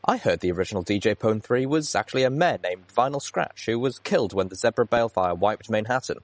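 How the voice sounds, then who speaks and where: A man's voice: on the low side, expressive, fast talker, no echo, lightly acted. A man voicing opinionated tavern ponies trading gossip and squabbling in a story. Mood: eager bickering.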